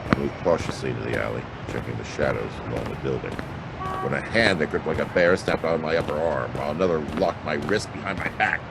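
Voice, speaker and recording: Gravelly low-voiced hard-boiled detective, played by a man. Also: fast, very noisy. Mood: tense narrating. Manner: wry, drawling asides with flirtatious endearments. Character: wisecracking hard-boiled male private detective, flirtatious and wry. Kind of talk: hard-boiled detective audio drama narration and dialogue.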